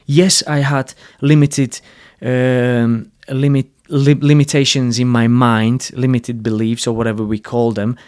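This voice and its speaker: A man's voice: medium pitch, level tone, measured pace, few pauses, darkish tone, clear, resonant tone. Slovak-accented man hosting, blunt and earnest. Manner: blunt and earnest, frequent restarts, salty language. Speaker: podcast host. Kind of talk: casual interview conversation.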